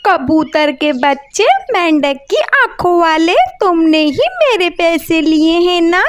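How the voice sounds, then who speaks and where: Woman doing upset cartoon lady crow, super high, whiny pleading and threats, dry sound. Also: animated, slow, no room sound, full character voice. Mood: angry, accusing. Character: distraught, accusing female crow in a cartoon.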